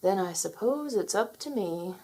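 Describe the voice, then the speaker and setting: A woman's voice: medium pitch, animated, normal speed, poor audio. Woman voicing a whimsical, wry magic dragon. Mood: wry, helpless. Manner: theatrical, gently teasing, florid wording. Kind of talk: children's storybook character read aloud.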